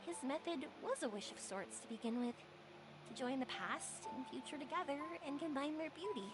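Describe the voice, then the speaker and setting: A woman's voice: sits high, fairly quick, lightly acted, poor audio. A woman playing an earnest, upbeat young woman on a journey in a video game, speaking candidly. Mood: reflective. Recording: loud game music behind the voice. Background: game music.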